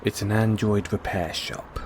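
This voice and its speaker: A man's voice: fairly low pitch, steady tone, unhurried, noisy background, natural voice. A man playing a curious, reassuring investigative journalist in an intimate audio roleplay, musing and coaxing with hesitant phrasing. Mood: conspiratorial, coaxing. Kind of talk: intimate one-sided audio roleplay acting.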